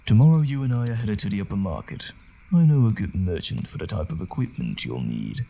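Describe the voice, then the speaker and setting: Gravelly, roguish fantasy haggler played by a man. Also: lowish voice, sing-song, a little fast, warm tone, exaggerated voice. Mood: easygoing. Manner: wry, teasing banter. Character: cheeky, roguish male fantasy haggler, gallant, battle-weary. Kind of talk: fantasy audio drama acting.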